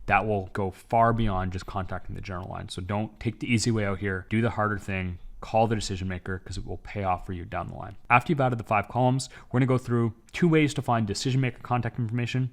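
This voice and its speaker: Young canadian guy explaining stuff step by step, lowish voice, steady course-video delivery. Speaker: course instructor. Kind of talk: scripted online business lesson.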